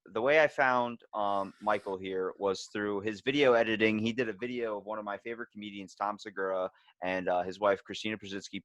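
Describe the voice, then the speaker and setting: A man's voice: fairly low pitch, fairly bright tone, dry recording. A man hosting a casual interview podcast, telling rambling, crudely joking anecdotes. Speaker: podcast host.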